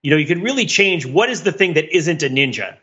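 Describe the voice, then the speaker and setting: A man's voice: fairly high pitch, lively intonation, fast, sharp tone, highs cut off. A man speaking as a podcast panelist in a discursive, emphatic, intellectual way, in casual analytical discussion.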